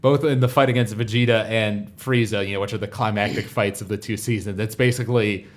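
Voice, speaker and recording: A man in a casual group chat, talking like an animated fan and acting out favorite lines. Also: fairly low pitch, brisk. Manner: animated fan reenacting dialogue. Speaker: podcast panelist.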